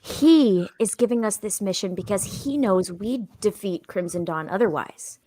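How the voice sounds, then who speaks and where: A woman's voice: medium pitch, moderate pace, mellow, no echo, close to an everyday voice. A woman playing an anxious, questioning underling at a comedic table read. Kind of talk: comedic live table read of a fan script.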